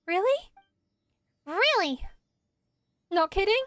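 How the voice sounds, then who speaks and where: A woman's voice: very high voice, very animated, slow pace, a bit bright, dry recording, faint music behind, pitched above the performer's own voice, full character voice. A woman voicing a timid, dreamy little forest spirit for a video game, hesitant and rambling in a wide-eyed way. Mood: frightened, pleading.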